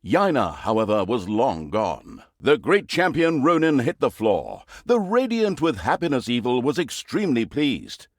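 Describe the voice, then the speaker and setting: A man's voice: medium pitch, sing-song, measured pace, few pauses, no echo, clean studio sound. A man playing a mock-grand, sardonic narrator of a villain's exploits in a fantasy video game, telling the story in a hammy theatrical way. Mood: gloating, mock-solemn.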